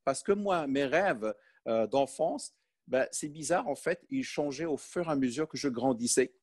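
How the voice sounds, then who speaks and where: A man's voice: medium pitch, expressive, moderate pace, darkish tone. A Moroccan-born man in his forties, a podcast guest talking about his life in a hesitant, conversational way with many fillers. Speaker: podcast guest, sales manager. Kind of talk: conversational interview.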